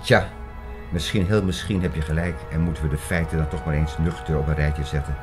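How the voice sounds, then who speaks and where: An actor in a radio drama playing a sceptical, learned man who argues in a measured, lecturing and dismissive way. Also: extremely low pitch, fairly even intonation, over music. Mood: reluctant, thoughtful. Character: sceptical, learned older male friend named Klaus, dismissive. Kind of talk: radio drama dialogue acting.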